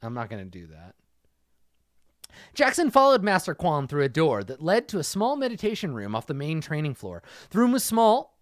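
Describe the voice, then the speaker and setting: Lively, laughing man mocking a story. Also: fairly high pitch, moderate pace, no room sound, studio quality. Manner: laughing, profane, mocking asides. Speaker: host reading a story aloud. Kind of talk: comedic reading with commentary.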